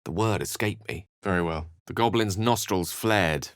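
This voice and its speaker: A man's voice: low-pitched, normal speed, clear, resonant tone, dry recording, clean studio sound, close to an everyday voice. A man playing a refined, eloquent wizard in a video game, courteous and wry, with articulate, formal and theatrical speech. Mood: grave narration. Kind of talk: video game voice lines and narration.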